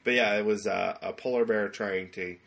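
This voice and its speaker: A man's voice: lowish voice, fairly even intonation, normal speed, vocal fry, fairly bright tone. A man hosting a podcast, chatting in a casual, comic and rambling way. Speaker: podcast host.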